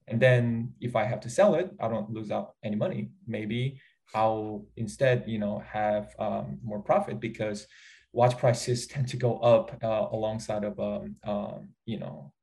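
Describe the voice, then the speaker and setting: A man with a Korean accent, a native Korean speaker, chatting casually and haltingly with many fillers and restarts. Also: on the low side, steady tone, vocal fry, darkish tone. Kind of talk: casual conversation.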